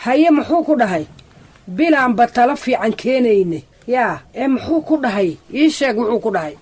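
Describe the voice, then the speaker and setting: A woman's voice: a little high, lively intonation, normal speed, a little mellow, breathy edge. A woman with a Somali accent playing a cautious, scolding grandmother in a radio drama, questioning and reproachful. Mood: curious.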